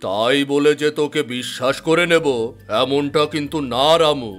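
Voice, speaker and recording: A man's voice: on the high side, a little slow, a bit bright, faint music behind. A man voicing a rich, imperious and suspicious village headman in a fairy-tale cartoon, commanding and self-satisfied. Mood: haughty.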